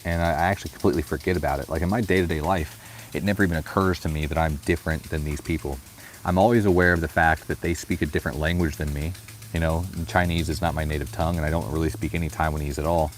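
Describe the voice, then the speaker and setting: A man with a very low, deep voice reflects aloud in a personal vlog. He speaks in a thoughtful, unhurried way, often stopping to add "you know". Soft music plays faintly behind him. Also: steady tone. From United States. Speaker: vlogger.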